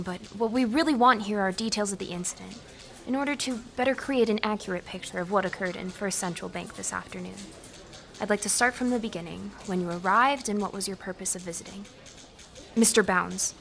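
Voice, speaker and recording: A woman's voice: mid-range voice, gravelly, a bit bright. A woman playing a patient, formal police interviewer taking a statement in an audio drama. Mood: businesslike. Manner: formal, measured questioning.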